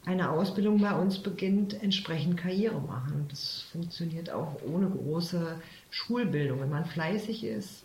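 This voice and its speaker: A woman's voice: fairly low pitch, a little mellow, a little hiss or hum. A woman, a detective chief inspector, explaining steadily in an interview. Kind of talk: casual interview talk. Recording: echoey room.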